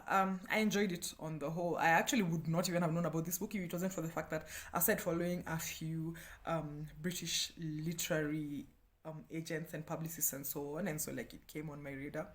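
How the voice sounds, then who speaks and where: A Kenyan woman, a native Kikuyu speaker, reviewing books in a casual, slangy, self-deprecating chat. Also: fairly low pitch. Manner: chatty, slangy, self-deprecating, breaking often into laughter. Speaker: book review vlogger.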